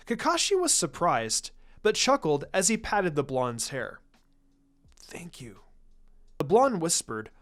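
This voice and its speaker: A man giving a scripted, dramatic narration of a fan fiction story. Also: fairly high pitch. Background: background music.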